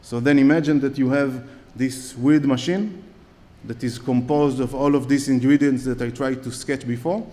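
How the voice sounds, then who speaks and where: A man's voice: mid-range voice, steady tone, moderate pace, mellow. A man with an Israeli accent giving a conference talk from a lectern, hesitant with many fillers and restarts. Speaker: conference speaker. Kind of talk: conference talk with audience questions. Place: large conference hall on stage. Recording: long hall reverb.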